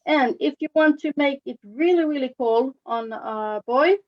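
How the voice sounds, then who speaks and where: A woman from Sweden with a Swedish accent, giving an informal demonstration as a face painting instructor, with halting phrasing. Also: high voice. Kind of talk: informal live demonstration with commentary. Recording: slightly dull, lo-fi webinar audio.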